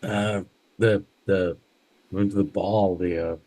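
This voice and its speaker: Low, husky-voiced man. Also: steady tone, slow, warm tone. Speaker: guest. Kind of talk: casual chat.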